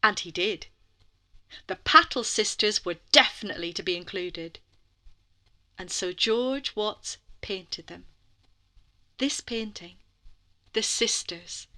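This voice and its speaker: A woman storyteller narrating a children's bedtime story in an expressive way, with lingering dramatic phrasing and soft passages. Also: medium pitch, slow, pauses often.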